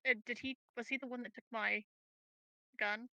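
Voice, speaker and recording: A woman's voice: a little high, fairly even intonation, normal speed, pushed and bright, dry recording, dull audio. A woman chatting casually as a player in a tabletop roleplaying game.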